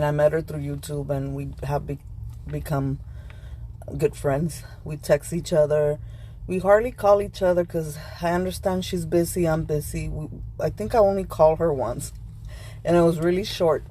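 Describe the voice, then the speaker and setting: A middle-aged woman vlogger with a slightly hoarse voice from a cold, chatting casually and rambling while she eats lunch in her car. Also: low voice, steady tone, moderate pace, mellow, slight background noise. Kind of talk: casual chat.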